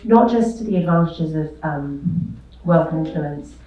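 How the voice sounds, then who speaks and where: A woman panelist speaking in a quiet, measured way. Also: sits low, expressive, lo-fi sound, a little hiss or hum. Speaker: panelist, author. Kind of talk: panel discussion. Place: lecture hall. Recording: very echoey hall, voice far from mic.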